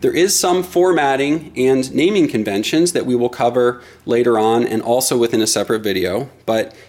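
A middle-aged man giving an instructional product demonstration in a deliberate, explanatory way. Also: medium pitch, darkish tone. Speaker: product presenter.

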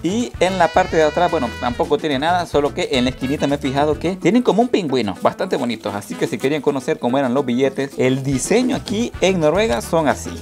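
A man's voice: on the high side, lively intonation, quick pace, hardly any pauses, a little mellow. A young man from Latin America, chatting to viewers while filming himself at arm's length. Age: young adult. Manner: chatty, addresses viewers directly. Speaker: travel vlogger. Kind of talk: casual travel vlog narration. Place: outdoors in snowy streets and on a bus. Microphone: handheld camera at arm's length. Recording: background music under voice.

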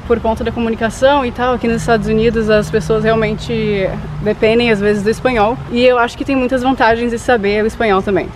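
Relaxed young woman. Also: fairly high pitch, noisy background. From Brazil. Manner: relaxed, thinks aloud with pauses. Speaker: vlog co-host. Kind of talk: casual chat. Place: outdoors in an open grassy field. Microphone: camera mic at arm's length.

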